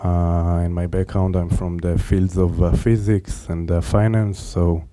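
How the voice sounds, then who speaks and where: Panel founder, very deep and dark voice, dry close sound, earnest and halting. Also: male voice, level tone, no room sound. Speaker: startup founder answering questions. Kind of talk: panel answer.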